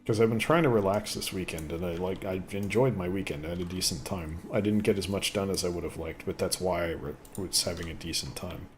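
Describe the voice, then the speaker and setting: Deep-voiced rambling man. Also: a little fast, hardly any pauses. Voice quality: deeper voice after an illness. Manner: rambling, chatty, thinks aloud. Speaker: gaming video host. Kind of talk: casual let's play commentary.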